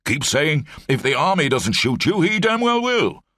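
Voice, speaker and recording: A jovial, rambling old brigadier barks out clipped, upper-class phrases in a high, lively voice, as a man puts him on. The recording is dry and close. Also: moderate pace, dry recording. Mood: jolly. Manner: clipped, blustering, upper-class officer speech. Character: bumbling elderly male British brigadier, jovial, rambling.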